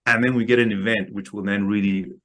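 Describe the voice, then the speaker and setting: A man talking as a guest market analyst in a casual interview, halting and often repeating words as he explains. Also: on the low side, fairly even intonation, fairly bright tone.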